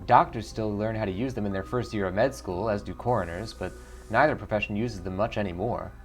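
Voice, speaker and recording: A man voicing a wry, matter-of-fact dwarf coroner in a video game, explaining things in a measured way. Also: lowish voice, faint music behind, lightly acted. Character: dry, wry dwarf coroner, principled and solitary.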